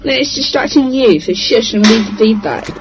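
A woman heard in a played-back show clip, speaking slowly and drawn-out. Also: a little high, expressive, over music, rough recording. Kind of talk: clips from a past show.

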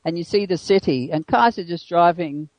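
A man's voice: on the high side, expressive, moderate pace, highs cut off. A man in a casual chat, chatty and easily amused.

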